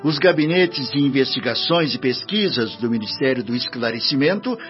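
Radio drama role: male actor as paternal Brazilian spirit minister, gently reproving, widely swinging intonation, slightly muffled sound over music. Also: mid-range voice, lively intonation, lightly acted. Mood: stern, reproving. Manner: measured, paternal, admonishing, with rhetorical questions.

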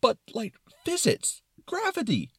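Comic skit role: man as a fretful, literal-minded Brain, protesting in a raised, animated voice. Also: very high-pitched, measured pace, slightly rough, dry recording, clean studio sound, pitched above the performer's own voice, full character voice. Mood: alarmed disbelief. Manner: concerned, incredulous objecting.

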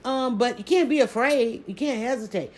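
A woman with a Southern accent chatting casually on a live stream, talking quickly and restarting her sentences often. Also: on the high side. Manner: rapid, stumbling restarts, animated emphasis. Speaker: social media creator.

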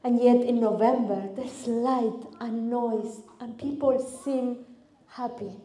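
A woman from Argentina with an Argentine Spanish accent and Spanish as her first language, preaching as a guest speaker in a church, expressive and lively as she tells stories. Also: on the high side, slow pace. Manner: expressive, lively storytelling with vivid lists. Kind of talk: sermon. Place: church hall. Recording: echoey church hall.

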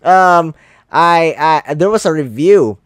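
A man's voice: a little high, expressive, slow pace, clear, resonant tone, no room sound, clean studio sound. A man hosting a podcast, a Filipino speaker of English as a second language, talking earnestly and encouragingly about love and positivity. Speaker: podcast host. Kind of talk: casual podcast interview.

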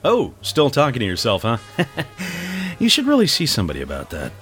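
A man's voice: on the high side, huge pitch swings, moderate pace, fairly bright tone, soft background music. A man playing a cheeky, teasing buddy in an audio drama.

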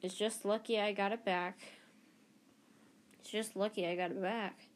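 A woman's voice: mid-range voice, fairly even intonation, normal speed, natural voice. A woman reading a children's novel aloud, voicing a determined teenage boy who speaks with urgent intensity.

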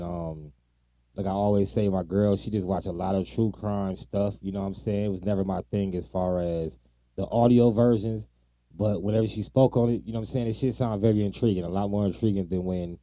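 A man's voice: low voice, dark tone, no room sound. A man co-hosting a podcast, chatting casually about true crime in informal, slangy language. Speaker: podcast co-host.

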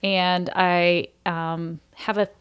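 A woman's voice: fairly low pitch, little pitch movement, very slow, clear, resonant tone. A woman talking casually as an interview guest. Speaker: theater performer, interview guest.

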